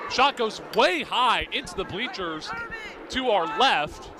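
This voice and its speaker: A man's voice: high-pitched, animated, slow pace. A young man doing live radio play-by-play commentary in clipped, vivid present-tense calls. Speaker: play-by-play announcer. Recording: outdoor broadcast with clear background noise.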